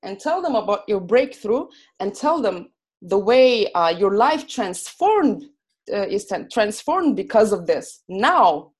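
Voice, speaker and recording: A woman with a Slovene accent, speaking as a guest coach on a podcast, excited and full of fillers and restarts. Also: mid-range voice, slow, husky. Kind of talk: enthusiastic podcast interview.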